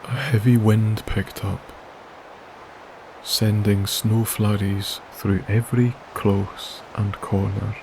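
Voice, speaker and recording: Slow, soft-spoken male sleep-story narrator. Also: on the low side, flat delivery, slight background noise, low-quality recording. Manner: slow, soothing, gentle delivery with long pauses. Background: wilderness ambience.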